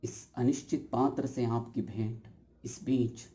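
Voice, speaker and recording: A man performing a stage monologue as a brooding, uncertain everyman, speaking deliberately and philosophically with dramatic emphasis straight to the audience. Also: mid-range voice, fairly even intonation, mellow, close to an everyday voice. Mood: brooding, reflective. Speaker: actor performing an audition monologue.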